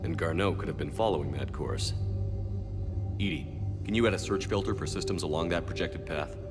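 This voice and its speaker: Man playing direct military commander guy, deep voice, no-nonsense, game scene with music behind. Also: a little fast, lightly acted. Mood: focused, questioning. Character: decisive male military commander, focused and plain-spoken. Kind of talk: game cutscene dialogue.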